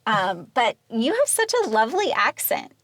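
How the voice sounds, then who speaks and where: Woman podcast host chatting and asking stuff, highish voice, dry audio. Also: very animated, moderate pace, fairly bright tone, dry recording, rough recording. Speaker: podcast interviewer. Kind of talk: casual interview chat.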